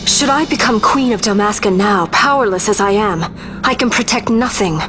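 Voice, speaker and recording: An actress playing a proud, determined young princess in a fantasy game, speaking formally and earnestly. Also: female voice, mid-range voice, moderate pace, music in the background, natural voice. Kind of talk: dramatic video game voice acting.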